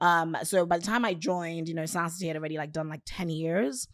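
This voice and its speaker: A woman from Nigeria with a nasal voice, a podcast guest telling her story in relaxed, casual chat full of "like" and "um". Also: fairly low pitch, fairly quick, no echo, studio quality. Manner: relaxed, filler-heavy storytelling with frequent "like" and "um".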